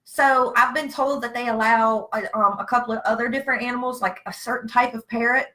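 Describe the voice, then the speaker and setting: Bright, higher-voiced Southern American woman. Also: fairly even intonation, a little fast. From Virginia, United States. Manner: blunt, folksy. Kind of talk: casual chat, storytelling.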